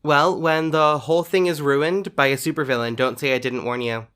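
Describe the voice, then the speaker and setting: A man's voice: on the high side, steady tone, moderate pace, fairly bright tone, clean tone, natural voice. A man playing a petulant, dramatic superhero playwright in an audio drama. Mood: sulky, petulant. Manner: petulant, melodramatic. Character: sulky, dramatic fired superhero playwright, male.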